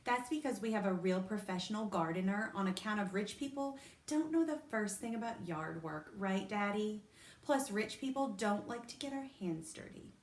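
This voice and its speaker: A woman's voice: mid-range voice, expressive, normal speed, echoey room, voice pushed up, close to an everyday voice. A woman reading aloud, voicing a spoiled, showy rich little girl who brags in a sing-song way. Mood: showing off.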